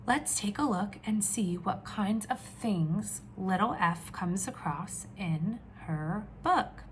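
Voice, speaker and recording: A woman teacher reading aloud to young children, with expressive questions and drawn-out emphasis. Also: mid-range voice, slight background noise. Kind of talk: children's read-aloud lesson.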